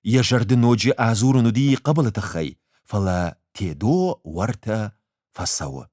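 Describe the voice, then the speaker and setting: A man reading a short story aloud as an expressive literary narrator. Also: on the low side, a little slow, no room sound, clean studio sound.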